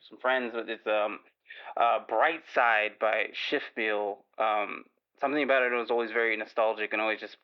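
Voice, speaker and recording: A man playing a casual, halting, friendly caller to a radio show, his audio slightly dulled. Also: on the low side, clean tone, muffled recording, lightly acted.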